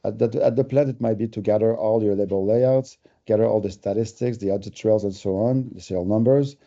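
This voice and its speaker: A man's voice: fairly low pitch, brisk, dark tone, dull audio. A man with a French Canadian accent, giving a conversational, explanatory webinar presentation with everyday examples. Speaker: company presenter.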